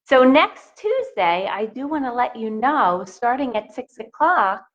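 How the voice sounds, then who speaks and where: A woman teacher reads a picture book to young children at a slow, deliberate pace. Her pitch moves widely and expressively as she puts questions to the listeners. The recording is dull and slightly muffled. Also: big pitch swings, a bit bright. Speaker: elementary school teacher reading aloud.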